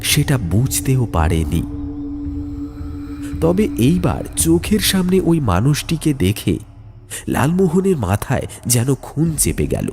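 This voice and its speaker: A man narrating a scripted ghost story in a suspenseful, deliberate way with dramatic pauses. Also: mid-range voice.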